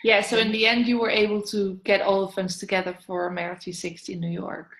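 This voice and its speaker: A woman's voice: medium pitch, flat delivery, a little fast, fairly bright tone. Dutch-born young woman in an echoey room. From the Netherlands. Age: 20s. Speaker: host. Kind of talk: casual video call interview.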